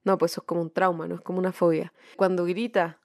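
A woman's voice: on the low side, level tone, quick pace. A woman with a Chilean accent co-hosting a podcast in a casual, colloquial, slangy chat. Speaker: podcast co-host.

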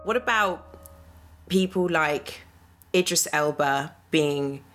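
A woman with a notably low voice hosts a relaxed discussion. She poses thoughtful questions and fills the gaps with affirming murmurs. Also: takes it slowly, music in the background. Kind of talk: casual discussion.

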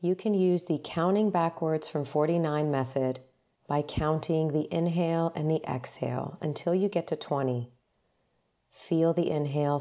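A woman guiding a meditation in a gentle, unhurried, instructional manner, leaving long pauses between short phrases. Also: sits low, dull audio. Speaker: meditation guide. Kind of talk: guided meditation narration.

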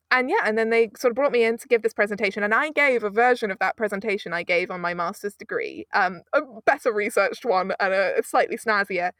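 A young woman from Britain, an audio drama producer telling stories in a casual interview, animated and self-mocking with frequent laughs. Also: medium pitch, dry recording, clean studio sound.

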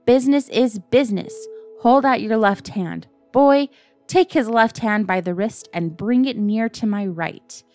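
A woman's voice: fairly high pitch, a little slow, darkish tone, soft background music, natural voice. A woman voicing a cruel, sly blind old beggar man in a storybook, moving between sing-song pleading and cold command. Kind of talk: storybook character voice.

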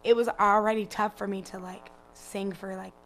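A woman with a raspy voice, a musician talking casually in an interview, laid-back and laughing often. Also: mid-range voice, little pitch movement, moderate pace, a little hiss or hum. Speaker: musician guest.

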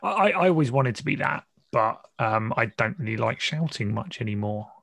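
Man, chatty discussion host, creaky voice, dry close sound, self-deprecating, conversational. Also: medium pitch, expressive, moderate pace, a bit bright, no echo. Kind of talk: casual conversational interview.

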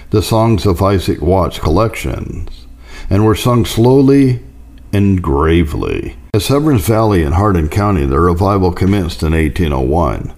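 A man reading church history aloud as an audiobook narrator, in a measured, formal manner. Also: low-pitched, a little mellow. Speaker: audiobook reader.

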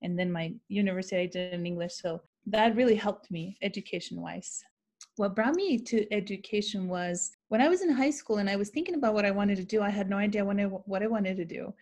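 A woman's voice: mid-range voice, fairly quick, a little mellow. A woman teacher who grew up in Ciudad Juarez and whose first language is Spanish, talking in a casual interview with rambling, self-correcting storytelling. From Mexico-US border, Ciudad Juarez. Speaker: teacher, guest.

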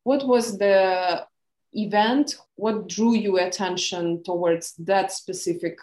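Woman, Ukrainian accent, podcast host, slow, searching for words, roomy echo. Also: mid-range voice, a little mellow. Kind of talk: interview questions.

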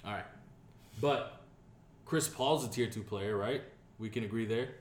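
A man's voice: lowish voice, measured pace. Guy rambling and joking on a podcast, lots of "like" and "you know", roomy echo, bit of hiss. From Dominican family. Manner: swearing, joking, rambling with fillers. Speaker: podcast co-host. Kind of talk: casual chat. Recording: echoey room with faint hiss.

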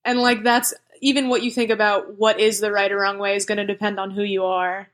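A young woman guest on a podcast, chatting casually in a relaxed, halting way with many restarts. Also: medium pitch. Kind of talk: casual chat.

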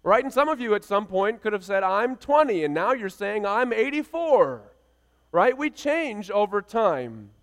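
A man's voice: sits high, big pitch swings, measured pace, a bit bright. A man in his thirties preaching a sermon as a pastor, with emphatic, rhythmic repeated phrases. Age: 30s. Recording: reverberant hall sound.

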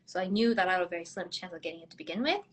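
A woman's voice: lowish voice, animated, racing, husky. A young woman from South Korea, a dentist, answering interview questions in a candid, bubbly way and laughing often. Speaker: podcast guest, dentist.

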